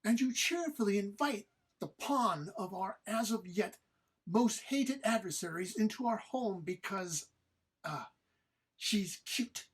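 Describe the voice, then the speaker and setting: A woman playing a haughty, exasperated companion in a comedy read-through, arch, formal and sarcastic, with a husky voice. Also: medium pitch, expressive, measured pace. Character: haughty, exasperated, scolding companion, dry and disdainful. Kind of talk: informal script read-through of audio comedy.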